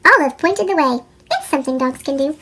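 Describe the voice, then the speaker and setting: A woman's voice: lively intonation, brisk, sharp tone. A woman with a chipmunk voice effect narrating a children's picture book. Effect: chipmunk pitch-shift voice effect. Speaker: storybook narrator.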